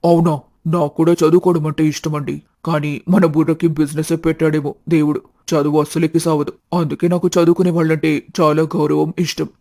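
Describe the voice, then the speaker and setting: A man plays a good-hearted young shopkeeper in a storybook family drama. His voice is on the high side and mellow, with flat intonation, and he talks in a chatty, polite, matter-of-fact way. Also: a little fast, no echo, studio quality, close to an everyday voice. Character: talkative, good-hearted young snack-shop owner seeking marriage.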